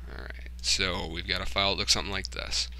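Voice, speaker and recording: A man giving a casual screen-recorded software tutorial, informally thinking aloud and muttering to himself as he works. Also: low voice, little pitch movement, fast talker, a little hiss or hum. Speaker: tutorial host, hobbyist game developer.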